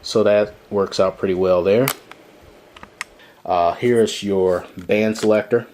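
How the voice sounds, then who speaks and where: Low-pitched man demonstrating a product. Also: fairly even intonation, slow pace, darkish tone, a little hiss or hum. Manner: explanatory, step-by-step walkthrough. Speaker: product reviewer. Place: indoor room.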